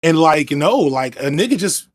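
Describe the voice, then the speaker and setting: A man's voice: fairly high pitch, expressive. Reflective man talking over a headset. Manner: reflective, fast, self-interrupting. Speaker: guest, video essayist. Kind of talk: casual video call chat. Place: room at home indoors.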